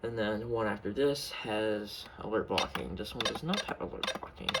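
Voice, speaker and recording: Casual male hobbyist, informal and mumbly, creaky voice, unscripted home video with clear background noise and clicks. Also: on the low side, normal speed. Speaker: hobbyist showing a weather radio collection. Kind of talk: casual unscripted show-and-tell. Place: room at home. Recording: noisy home recording with clicks.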